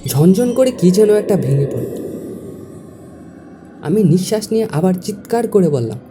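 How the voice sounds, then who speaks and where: A woman's voice: lowish voice, big pitch swings, normal speed, background noise. A woman reading a scripted first-person horror story as its narrator. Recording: music behind the voice. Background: music.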